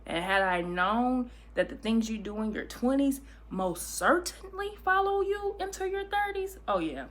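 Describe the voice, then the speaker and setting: A woman's voice: fairly high pitch, very animated, a little slow, hardly any pauses. A woman in her early thirties who hosts a casual reaction vlog, talking freely and animatedly, often restarting and repeating her phrases. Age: 30s. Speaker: vlogger reacting to clips. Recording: clicking noise in the background.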